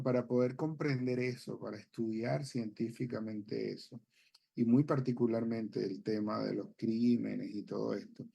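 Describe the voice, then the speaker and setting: Venezuelan male psychologist guest, gravelly, mellow tone, measured and hesitant, studio talk show. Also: medium pitch. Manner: measured, explanatory, with frequent hesitations. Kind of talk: expert explanation on a TV talk show.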